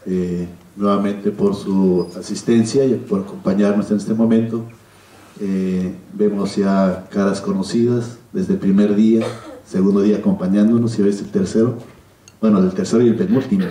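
Low, mellow Mexican man in echoey hall. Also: fairly even intonation. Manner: measured, hesitant, pausing often. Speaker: panel speaker. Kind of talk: public round-table talk. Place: cinema auditorium stage. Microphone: handheld PA microphone, filmed from audience. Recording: echoey hall, distant camera microphone.

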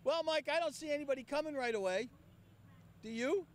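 A man's voice: extremely high pitch, fairly quick. A man hosting a live stream at an outdoor event, chatty and upbeat, losing his voice from a cold. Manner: chatty and upbeat, coaxing guests. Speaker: host. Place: outdoor park event beside a van. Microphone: handheld mic.

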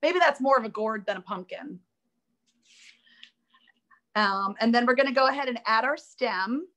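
A woman with a somewhat high voice leads a live online drawing class, talking casually as she works. Her voice is a touch raspy from allergies, and she thinks aloud in an upbeat, chatty way, often restarting her sentences. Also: moderate pace, fairly bright tone. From Florida. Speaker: art instructor.

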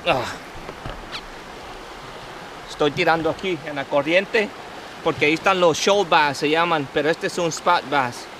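A man in his thirties vlogging with excitement while fishing from a kayak on a river, switching between Spanish and English. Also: fairly high pitch, a little slow, long pauses. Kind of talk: casual vlog narration while fishing. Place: outdoors on a river, wading and kayaking. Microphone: action camera on a kayak. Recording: noisy outdoor river audio.

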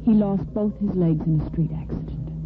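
A thin, tinny vintage radio drama recording of an actress as a woman of medium pitch. She is sincere and determined, with a sensitive, earnest delivery in the soap opera manner of the fifties. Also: a little fast, over music, lightly acted. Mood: earnest, pleading.

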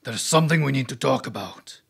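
Casting: man for bear-man henchman, heavy gravel and rasp, gruff audio drama role. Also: mid-range voice, animated, fairly quick, exaggerated voice. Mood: urgent.

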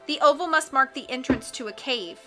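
A woman's voice: high voice, brisk, bright, forceful tone, soft background music. A woman reading a practical teenage girl. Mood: calm. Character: sensible focused teenage girl inventor.

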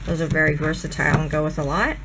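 Hoarse, gushing woman, lowish voice. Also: steady tone, fast, background noise. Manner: gushing, chatty, many ums. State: hoarse, losing her voice. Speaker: crafting vlogger. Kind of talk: casual haul video chat.

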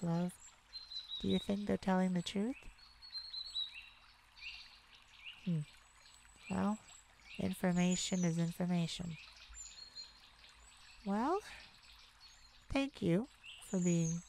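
A woman playing a tenderhearted mage devoted to a werewolf partner in a romantic ASMR roleplay, soft, affectionate and creaky, with pauses. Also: on the low side, a little hiss or hum. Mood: cordial, diplomatic. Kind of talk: romantic ASMR roleplay, one-sided dialogue.

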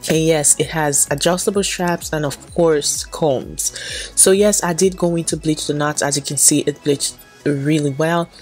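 A woman's voice: low voice, steady tone, unhurried, faint music behind. A young woman with a stuffy, nasal voice from a cold, chatting casually to camera as she talks through a hair tutorial. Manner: chatty, repeats "so yes" and "going in". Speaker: beauty vlogger. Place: small indoor room, plain backdrop.

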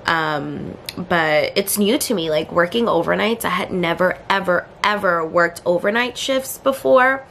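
A woman's voice: unhurried, hardly any pauses, a bit bright, slight background noise. A young woman in her twenties vlogging at home with a cold, sounding stuffed up, tired and rambling, talking to a camera held at arm's length. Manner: tired, low-energy, rambling with many fillers. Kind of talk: casual vlog chat to camera. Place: bedroom and then a kitchen at home, indoors. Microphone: handheld camera at arm's length.

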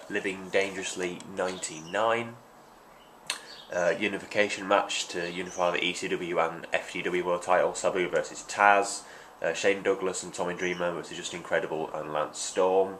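Young man, congested, deep voice, rambling with ums, hissy home webcam audio. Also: a bit bright. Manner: rambling, many ums and restarts. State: congested from hay fever. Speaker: vlogger. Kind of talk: casual unboxing vlog. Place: small room at home, indoors. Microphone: webcam at desk.

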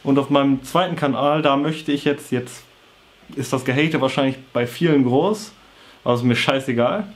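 A man's voice: mid-range voice, moderate pace. Young man with a cold, vlogging casually. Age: young adult. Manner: informal, slangy, offhand. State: has a cold and sore throat. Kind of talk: casual talk to camera. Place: small bedroom with computer desk, indoors.

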